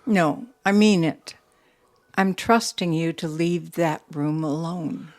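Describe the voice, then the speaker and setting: A composed, low-voiced young widow boss. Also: female voice, slow, a little mellow. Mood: firm, serious. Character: composed young widow running a steel mill, guarded. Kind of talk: audio drama acting.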